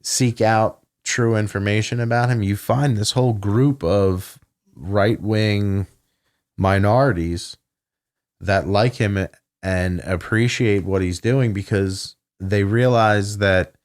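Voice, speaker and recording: A man's voice: lowish voice, steady tone, takes it slowly. A man in casual podcast chat, ranting and swearing heavily. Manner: profane, ranting. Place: home podcast studio.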